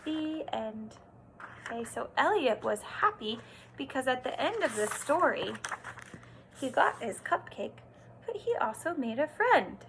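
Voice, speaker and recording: Kindergarten teacher lady reading a storybook out loud, bright highish voice, asks the kids questions, some noise behind her. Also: a little slow, creaky, poor audio. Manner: expressive, emphatic storytelling with simple words and questions to the listener. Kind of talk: read-aloud lesson for young children, pausing to explain and ask questions.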